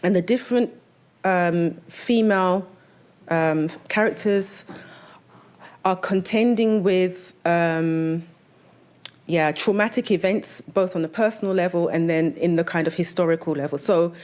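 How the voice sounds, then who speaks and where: A woman's voice: fairly low pitch, a little slow, darkish tone. A woman novelist talking about her own work as a panelist, in a conversational way that draws the audience in with questions.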